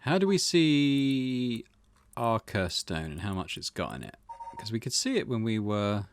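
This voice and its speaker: A man hosting a gaming playthrough, conversational in his commentary and expressive when reading the story text aloud. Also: medium pitch, unhurried, slight background noise. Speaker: gaming channel host.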